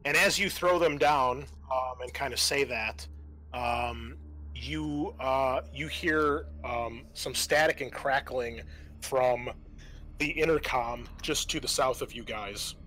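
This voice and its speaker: A man narrating a tabletop roleplaying game as game master, halting and thinking aloud as he improvises. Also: mid-range voice, pushed and bright, rough recording.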